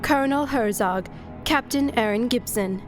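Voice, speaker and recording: A female actor plays a formal military announcer in a radio play. Her high voice delivers ceremonial introductions crisply and officially over faint music. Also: normal speed, natural voice. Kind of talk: ceremonial announcement in audio drama.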